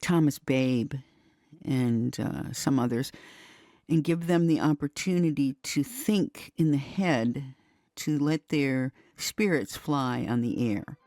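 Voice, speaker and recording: A woman's voice: rumbling low, slow pace, mellow, dry recording. Thoughtful American woman in a dry recording. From United States. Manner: thoughtful, emphatic. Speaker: interviewee, radio drama producer. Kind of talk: reflective documentary interview. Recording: dry, faint music behind.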